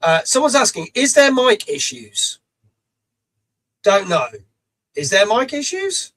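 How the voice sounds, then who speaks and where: A middle-aged man with a rough voice, hosting a live stream, chatty and reading out viewers' messages with casual swearing. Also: extremely high pitch, big pitch swings, slow pace, a bit bright. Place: small home studio.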